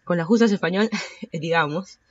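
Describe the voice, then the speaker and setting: A woman's voice: lowish voice, moderate pace, lo-fi sound. A Peruvian woman in her thirties with a Peruvian accent, hosting a casual solo podcast and rambling with many fillers and self-corrections. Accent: Peruvian Spanish. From Peru. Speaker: podcast host.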